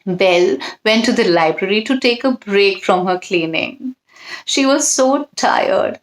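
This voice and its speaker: A woman's voice: medium pitch, animated, measured pace, rough recording. A woman hosting a children's storytime, reading expressively and chatting directly to young listeners.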